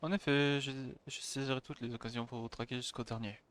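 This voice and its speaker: A man's voice: medium pitch, steady tone, fast. A man livestreaming a video game, commenting and reading dialogue aloud. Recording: faint background noise, clicks.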